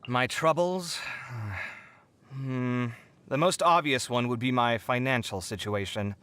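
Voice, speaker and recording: A man voicing a proud, flamboyant young artist in a video game, talking in an expressive, theatrical way. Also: medium pitch, takes it slowly, clean tone. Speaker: game character. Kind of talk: game character voice lines.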